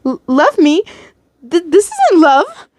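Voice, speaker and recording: A woman's voice: mid-range voice, expressive, moderate pace, a little mellow, no room sound, clean studio sound, lightly acted. A woman voicing a terrified young heroine, stammering and pleading in distress. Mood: horrified, indignant. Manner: stuttering, exclaiming in distress.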